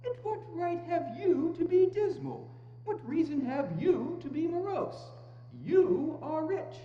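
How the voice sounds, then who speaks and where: A woman's voice: very high voice, expressive, a little slow. A woman acting a cheerful, good-hearted young nephew in a theatrical dramatic reading, earnest and buoyant. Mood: teasing, protesting. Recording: echoey hall, dull sound, faint hum.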